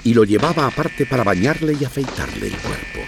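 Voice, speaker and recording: A man's voice: mid-range voice, huge pitch swings, fairly quick, gravelly, music in the background. A man narrating a scripted children's story with dramatic storytelling delivery.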